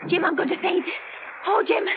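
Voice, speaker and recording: A woman's voice: very high voice, lively intonation, normal speed. An actress playing an anxious, faint-hearted mother in a 1930s radio drama. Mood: frightened. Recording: muffled, noisy 1930s radio recording.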